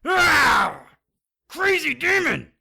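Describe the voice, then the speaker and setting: An actor playing a gritty, wounded supernatural investigator in an audio drama, narrating in a wry, hard-boiled, dramatic way. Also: male voice, very high-pitched, wildly swooping pitch, very slow, creaky, sharp tone, heavily acted. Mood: pained, alarmed. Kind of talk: first-person noir audio drama narration.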